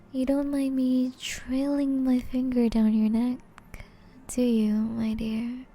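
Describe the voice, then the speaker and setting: A woman voicing a seductive, aristocratic female vampire in an intimate ASMR roleplay, breathy and half-whispered, with teasing, elegant phrasing. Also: high voice, mellow, full character voice. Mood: enraptured, intimate.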